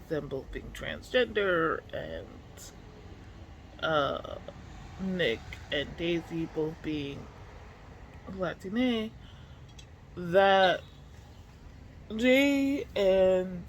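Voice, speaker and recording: Slow-talking book vlogger, noisy recording. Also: mid-range voice, fairly bright tone. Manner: informal and slangy, musing aloud. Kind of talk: casual reading vlog chat. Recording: clearly noisy room ambience.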